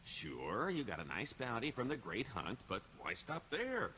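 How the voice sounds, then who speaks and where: A man's voice: medium pitch, huge pitch swings, unhurried, pushed and bright, slight background noise, heavily acted. A man playing a roguish middle-aged career criminal in a video game, wry and boastful, with a wheedling, theatrical sarcasm. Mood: sly, persuasive.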